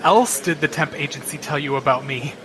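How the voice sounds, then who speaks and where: An actor playing a nervous young office temp in a radio play, hesitant and halting. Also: male voice, a little high, background noise, natural voice. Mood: awkward.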